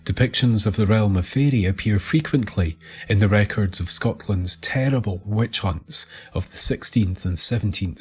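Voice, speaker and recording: Male narrator, Scottish. Scripted mysterious storytelling, lowish pitch, unhurried pace, pauses for effect. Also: breathy edge. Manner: measured, deliberate, with dramatic pauses. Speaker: writer and narrator of the show. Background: music bed.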